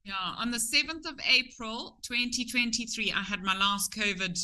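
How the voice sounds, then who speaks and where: A woman's voice: on the high side, moderate pace, pushed and bright. A middle-aged woman from Zimbabwe with a Zimbabwean accent, chatting openly as an interview guest. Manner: open and chatty, some restarts. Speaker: tour company owner, guest.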